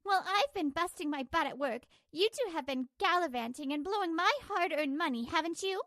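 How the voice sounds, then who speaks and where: A woman's voice: extremely high pitch, animated, brisk, a bit bright, no room sound, clean studio sound, pitched above the performer's own voice. A woman voicing a fed-up working wife, indignant, cutting and resolute, in a manga story dub. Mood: accusing.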